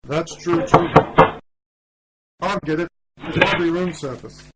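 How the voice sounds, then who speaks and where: A man's voice: on the high side, measured pace, heavy background noise, natural voice. A man playing an eccentric, wry older time traveller in a radio play, with a whimsical, theatrical delivery. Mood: droll, musing. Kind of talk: hobby radio play acting. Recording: amateur radio play under loud music.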